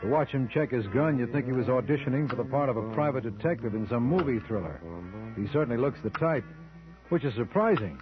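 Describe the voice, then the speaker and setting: A man's voice: mid-range voice, expressive, fairly quick, natural voice. An actor playing a sardonic lawyer who narrates his own case in an old-time radio mystery, with dry, wry delivery. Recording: dull old radio recording with music.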